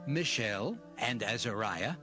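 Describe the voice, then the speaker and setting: A man narrating scripture dramatically, in a solemn, measured storytelling manner. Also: lowish voice, expressive, over music.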